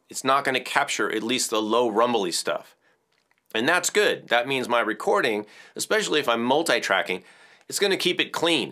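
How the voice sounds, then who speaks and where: A man in his fifties with a bass-baritone voice, casually explaining audio equipment in a home studio. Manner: relaxed, chatty explaining with gestures and small laughs. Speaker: music educator. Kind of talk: hands-on audio tutorial. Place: home music studio, indoors. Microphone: headphones on, studio mic off camera.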